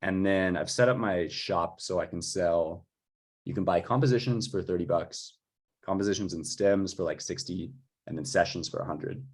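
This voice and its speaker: Guy with a deep husky voice, composer just chatting about work. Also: moderate pace, a little mellow. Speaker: music composer for audio fiction. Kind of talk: informal work chat.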